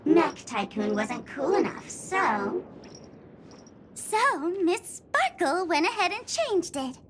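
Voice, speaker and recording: A woman's voice: very high voice, sing-song, slow pace, fairly bright tone, a little hiss or hum, heavily acted. An actress playing a theatrical, teasing young trickster woman in an anime-style game, with a smug, sing-song delivery. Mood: teasing, indignant. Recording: music behind the voice. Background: game soundtrack music.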